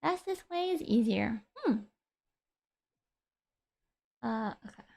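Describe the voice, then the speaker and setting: A woman's voice: on the high side, animated, takes it slowly, gravelly, darkish tone. A woman streaming a game, chatting casually and reacting aloud with giggles. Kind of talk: casual commentary over gameplay.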